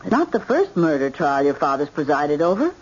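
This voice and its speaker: A woman's voice: sits low, big pitch swings, a little fast, slightly rough, dull audio, heavily acted. A woman playing a fussing, protective middle-aged wife and mother in an American radio drama, chiding and firm. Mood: firm, defensive. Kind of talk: old-time American radio drama acting. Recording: band-limited vintage radio recording, faint noise.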